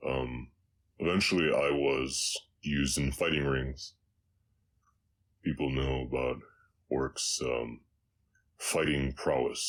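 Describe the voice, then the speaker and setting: A man playing a gentle, reserved orc ranch hand in an ASMR audio roleplay, with a Southern US accent and a halting, reflective delivery. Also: a bass voice, heavily acted. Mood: subdued, sad. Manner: halting, softly reflective, hesitant.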